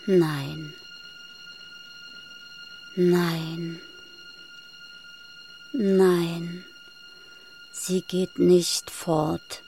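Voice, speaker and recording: A woman narrates a radio drama as a brooding inner voice. Her voice is lowish and unhurried, in terse phrases over clear music. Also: very animated, warm tone, heavily acted. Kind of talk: scripted radio play narration.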